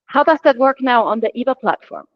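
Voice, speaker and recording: A woman's voice: a little high, lively intonation, fairly quick, no echo, lo-fi sound. A woman with an Austrian accent presenting a product webinar in English, friendly and self-deprecating, explaining step by step. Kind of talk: online presentation.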